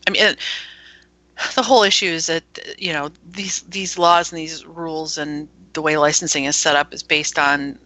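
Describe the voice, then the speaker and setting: Low-voiced woman rambling about her radio station, bright tone, dry room. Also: fairly even intonation, normal speed, dry recording. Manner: chatty, explains at length. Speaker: internet radio station owner, guest. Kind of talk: casual chat.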